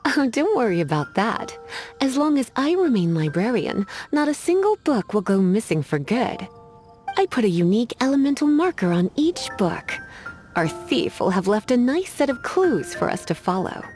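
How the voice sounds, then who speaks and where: An actress playing a languid, teasing adult librarian mage who scolds with playful menace. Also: female voice, a little high, very animated, normal speed, darkish tone. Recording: game cutscene with faint music behind.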